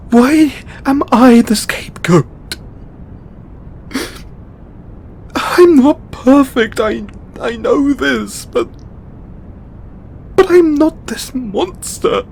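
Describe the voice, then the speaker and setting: An actor playing a distraught, overburdened god in an emotional audio roleplay, a man speaking tearfully and haltingly with exasperated swearing. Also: extremely high pitch, gravelly, a little hiss or hum, exaggerated voice. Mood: tearful, wounded. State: crying. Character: distraught, overburdened male god venting to his devotee.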